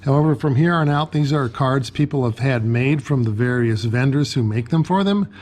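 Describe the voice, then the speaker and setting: A man's voice: mid-range voice, normal speed, a little mellow. A man with a mid-range voice talks through items as a hobbyist presenter. His delivery is an explanatory show-and-tell narration. The recording carries some faint background noise. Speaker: ham radio hobbyist presenter.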